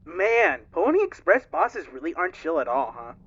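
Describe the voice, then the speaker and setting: A woman's voice: on the low side, huge pitch swings, normal speed, husky, muffled recording, heavily acted. A woman playing an upbeat, naive, slangy young male crew rookie in a fan-dubbed space game. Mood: cheeky, eager. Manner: breezy, slangy, boyish. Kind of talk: dramatic fan dub of a video game.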